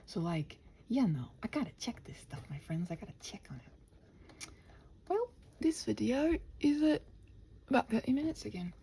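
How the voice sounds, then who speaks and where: Creaky, mellow woman rambling softly. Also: a little high, sing-song. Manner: halting, self-interrupting, often dropping to breathy half-whisper. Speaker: host of an improvised ramble audio for body doubling. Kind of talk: casual stream-of-consciousness ramble, ASMR-adjacent.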